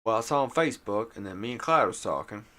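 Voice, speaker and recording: A man's voice: lowish voice, lively intonation, quick pace, bright, forceful tone. A man voicing a self-assured, half-informed hillbilly with a put-on Southern rural drawl. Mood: smug. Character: folksy male hillbilly, boastful and half-informed.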